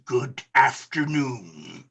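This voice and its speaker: Grumpy miserly old man for radio play, raspy, sharp and bright, swoopy theatrical delivery. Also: medium pitch, expressive, drawn-out words, exaggerated voice. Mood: curt, angry. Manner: curt, scornful, emphatic delivery. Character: bitter, miserly old businessman, scornful uncle.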